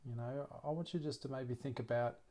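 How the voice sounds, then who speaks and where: A man leading a guided meditation as a teacher, speaking gently and unhurriedly with long pauses. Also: mid-range voice.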